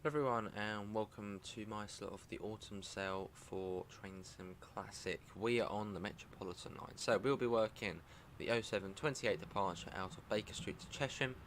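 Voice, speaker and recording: A man's voice: fairly low pitch, moderate pace, few pauses, a bit bright, slight background noise. A man with a cold and a stuffy voice, chatting and thinking aloud while playing a game. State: congested from a cold. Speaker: guest game streamer. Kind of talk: live gameplay commentary. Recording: game sounds running under voice. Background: train simulator ambience.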